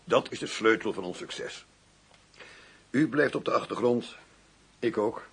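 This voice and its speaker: A man's voice: medium pitch, a little slow, gravelly, fairly bright tone, husky, exaggerated voice. An actor playing a controlled male undercover agent in a radio drama, speaking in a terse, businesslike, guarded way. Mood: smooth, guarded. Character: controlled undercover agent posing as shady arms-dealing doctor.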